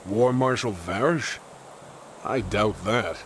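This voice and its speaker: A man's voice: lowish voice, takes it slowly, a bit bright, a little hiss or hum. An actor playing a brash, loyal young male warrior in a fantasy game, with a cocky, informal, bantering manner. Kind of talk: scripted fantasy game acting.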